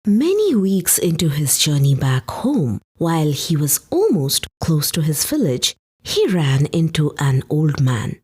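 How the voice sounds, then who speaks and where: A woman narrating a folktale for a podcast, with expressive, dramatic and deliberate phrasing. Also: deep voice, slightly rough. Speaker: podcast storyteller. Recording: music behind the voice. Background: background music.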